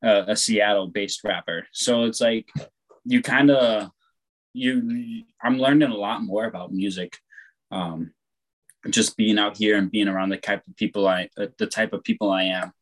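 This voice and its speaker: Laid-back young man with a low voice. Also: moderate pace, low-quality recording. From born on the West Coast, raised on the East Coast of the US. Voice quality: loud voice. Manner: rambling, laid-back, swears freely. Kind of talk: casual chat.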